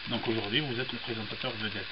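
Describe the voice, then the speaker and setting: A man interviewing a TV presenter for a website, asking questions with flattering remarks and repeated words. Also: lowish voice, fast talker. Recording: dull lo-fi sound with loud background noise.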